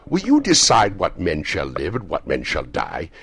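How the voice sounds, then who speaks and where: Guy doing a stern ghost for a storybook, solemn and scolding, big swoops in pitch, gravelly. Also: lively intonation, no echo, heavily acted. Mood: indignant rebuke. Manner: declamatory, slow, emphatic, indignant. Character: stern, solemn, reproachful male ghostly spirit.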